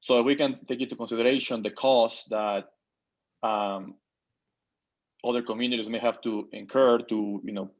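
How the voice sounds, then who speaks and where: A man's voice: medium pitch, steady tone, moderate pace, lo-fi sound. A man in his thirties, from Peru, introducing himself at a board meeting on a video call, with many fillers and restarts. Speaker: board member. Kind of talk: virtual meeting remarks.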